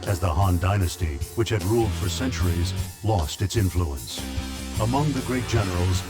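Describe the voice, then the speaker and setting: A man narrating a video game intro in a solemn, measured storytelling manner over music. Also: deep voice, expressive.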